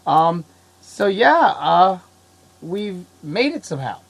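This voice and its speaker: A man hosting a casual podcast, sick and coughing, talking in an excitable, rambling way and laughing often. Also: sits high, slow, gravelly, husky, poor audio. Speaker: podcast host. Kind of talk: casual chat.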